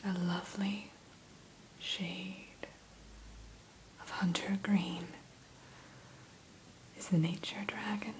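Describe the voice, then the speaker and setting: A woman speaks entirely in a soft, unvoiced whisper, close to the microphone, for an ASMR roleplay. She sits at a middle pitch and talks gently and slowly as a dragon hatchery keeper, guiding the listener through each dragon. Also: little pitch movement, a little hiss or hum, rough recording. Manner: gentle, storytelling tone addressing the listener.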